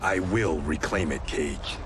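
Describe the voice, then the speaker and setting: A man's voice: a bass voice, slow pace, noisy background. A man playing a serious, earnest warrior in a video game, terse and impatient in manner. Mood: irritated. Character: stern, earnest male warrior and honourable ex-criminal. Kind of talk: video game cutscene acting. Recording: loud cinematic music behind voice.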